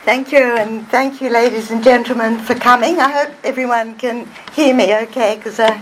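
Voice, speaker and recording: A woman, giving a measured, scholarly lecture to an audience as an author. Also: a little high, fairly even intonation, a little hiss or hum, poor audio. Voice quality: soft voice. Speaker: guest speaker, author. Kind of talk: public lecture. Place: hall before an audience.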